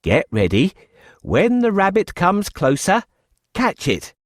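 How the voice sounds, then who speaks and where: A man's voice: high-pitched, huge pitch swings, slow pace, no echo, clean studio sound, full character voice. A man voicing a clever, confident talking cat for a children's story, polite and persuasive in a theatrical way. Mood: excited scheming.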